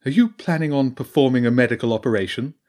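A man voicing a wise female badger priestess in an audiobook, speaking in a measured, knowing way with solemn warnings. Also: medium pitch, a little mellow, lightly acted. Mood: dry, wry. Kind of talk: audiobook character voice.

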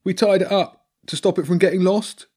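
Man doing a high, wheedling sewer rat. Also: steady tone, a little fast, darkish tone, slightly rough. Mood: sheepish excuses. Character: shifty, sheepish, greedy mercenary sewer rats.

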